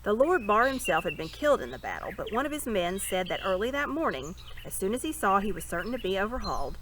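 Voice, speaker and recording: A woman's voice: mid-range voice, very animated, quick pace, natural voice. A woman voicing an eager, chatty young boy prince from a storybook, with breezy schoolboy slang. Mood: chatty, excited. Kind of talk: storybook character voice. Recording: birdsong and ambient noise behind.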